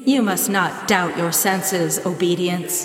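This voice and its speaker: An actress playing a mournful, vengeful ghost with melodramatic, archaic, drawn-out speech in an audio drama. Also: female voice, on the low side, faint music behind. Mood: eerie, solemn. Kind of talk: audio theatre acting.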